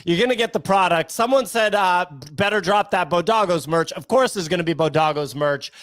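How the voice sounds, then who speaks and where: A man hosting a live podcast with lively banter and an emphatic radio-announcer delivery. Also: high voice, fairly quick, no echo, clean studio sound. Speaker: podcast host.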